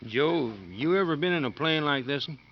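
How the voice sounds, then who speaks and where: An actor playing a wry, folksy convict in a 1950s radio drama, with colloquial speech. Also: male voice, a little high, normal speed, close to an everyday voice. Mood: casual, wry. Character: scornful, folksy escaped convict, right-hand man. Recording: dull, noisy vintage radio recording.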